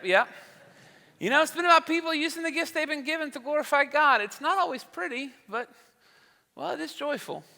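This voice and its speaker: Man playing folksy radio emcee, very high bright voice, earnest and gracious, halting pauses, big hall reverb. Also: heavily acted. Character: earnest, gracious male host of an old-time radio variety show. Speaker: radio show host. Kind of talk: stage acting as radio show emcee. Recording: reverberant hall stage sound.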